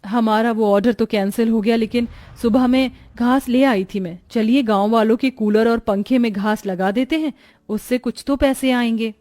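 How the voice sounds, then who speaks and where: A woman's voice: on the high side, moderate pace, dark tone, close to an everyday voice. A woman voicing an earnest, hopeful young wife in a moral-story cartoon. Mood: persuasive.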